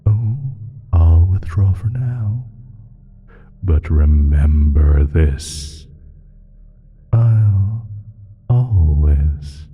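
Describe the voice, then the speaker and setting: A man playing an ancient, sly, evil creature in an audio roleplay, teasing and taunting with drawn-out pauses in a husky, half-whispered voice. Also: a bass voice, mellow, heavily acted. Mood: menacing, playful. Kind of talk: scripted audio roleplay monologue.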